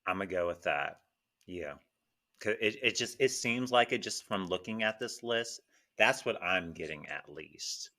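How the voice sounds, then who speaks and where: A man's voice: sits low, expressive, measured pace, bright, forceful tone. A man chatting casually as a panelist on a group podcast, in an agreeable, affirming manner.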